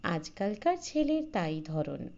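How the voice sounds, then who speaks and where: A woman narrating a literary short story in a measured, formal storytelling manner. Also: mid-range voice, sing-song, a little mellow, dull audio. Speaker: audiobook narrator.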